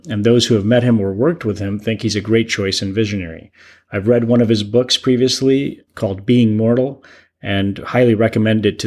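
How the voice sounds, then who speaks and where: Low-voiced man hosting a podcast. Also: a little mellow. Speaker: podcast host, physician. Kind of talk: podcast introduction and interview.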